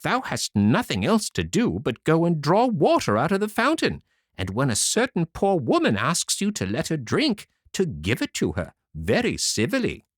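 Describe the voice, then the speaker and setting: A man voicing a greedy, quick-tempered mother who scolds loudly in a fairy tale reading. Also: on the high side, huge pitch swings, no echo, studio quality, voice pushed up. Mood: scheming. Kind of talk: storybook reading.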